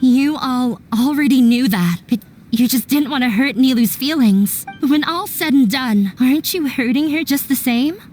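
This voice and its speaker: A woman playing a blunt, pessimistic young woman in a video game, speaking frankly and deliberately. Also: high voice, lively intonation, a little mellow. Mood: blunt, cynical. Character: blunt, pessimistic young female scholar's daughter. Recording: faint game music behind.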